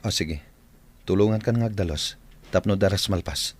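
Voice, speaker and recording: A man playing a kind, hesitant young gentleman, the son of an employer, in an Ilocano radio drama. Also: deep voice, normal speed, husky. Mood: helpful.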